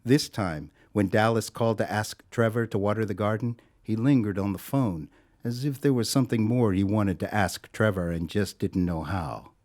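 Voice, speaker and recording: An actor as the narrator of an audio drama, telling a scripted story in a wry, sardonic way. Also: male voice, fairly low pitch, moderate pace, darkish tone, close to an everyday voice. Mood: wry, measured.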